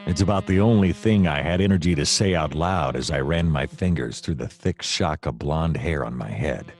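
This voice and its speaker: An actor playing a seedy, self-deluded private detective, narrating a comic noir radio play in a deadpan, melodramatic way. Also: male voice, a bass voice, normal speed. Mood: wry, world-weary. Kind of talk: hardboiled noir first-person narration, comedic radio play.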